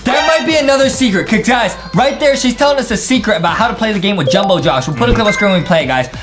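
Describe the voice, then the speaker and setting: A woman hosting an excited, slangy reaction commentary on video game voice lines, talking emphatically. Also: medium pitch, rapid-fire. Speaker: host. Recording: music behind the voice. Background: music.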